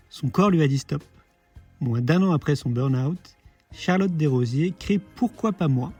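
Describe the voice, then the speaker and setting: Husky male podcast host. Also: a little high, a little mellow. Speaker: podcast host, film director. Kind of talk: scripted podcast introduction, then casual interview.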